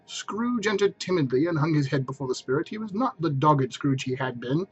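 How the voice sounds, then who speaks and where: A man narrates a story in a dramatic, emphatic manner. His voice sits high for a man and has a husky grain. The recording is a little dull. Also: expressive, brisk, faint music behind. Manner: theatrical, emphatic phrasing. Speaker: narrator reading aloud.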